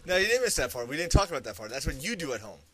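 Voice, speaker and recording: A man's voice: fairly high pitch, big pitch swings, rapid-fire, fairly bright tone. A man in his thirties who lives in New York City, co-hosting a casual parenting chat over a video call from home, teasing his partner with dry, wry remarks. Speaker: podcast co-host. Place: home room, indoors.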